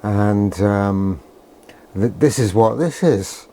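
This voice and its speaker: Older British male, lowish mellow voice, sardonic grumbler, unscripted vlog delivery. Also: takes it slowly. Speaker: presenter of a vintage radio video. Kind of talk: rambling unscripted vlog commentary.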